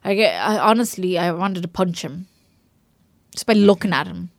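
A woman's voice: lowish voice, moderate pace, gravelly, dry recording. A woman co-hosting a casual film review podcast, laughing often as she gushes over films and rants about actors. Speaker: podcast co-host.

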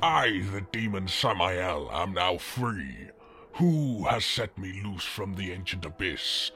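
An actor playing an ancient, pompous, foul-mouthed demon with grand archaic speech, in a comedy sketch. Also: male voice, sits low, sing-song, slow pace, pushed and bright, slight background noise, heavily acted. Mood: grandly menacing. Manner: grand, archaic, menacing speech breaking into British swearing.

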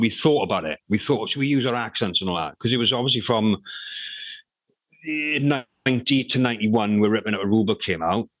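Low-voiced man, rambling, dry sound. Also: a bit bright, dry recording, low-quality recording. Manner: rambling, swears freely, many restarts. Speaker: interviewee, hip hop artist. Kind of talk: casual interview chat.

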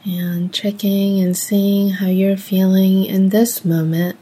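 A soft-spoken woman leading a guided meditation in gentle, measured phrases with long pauses. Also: medium pitch, level tone, slightly rough.

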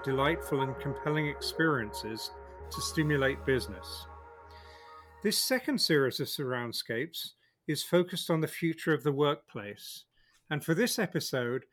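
A man hosting a podcast, reading an introduction and then asking interview questions in a measured way. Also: on the high side, a bit bright. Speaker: podcast host.

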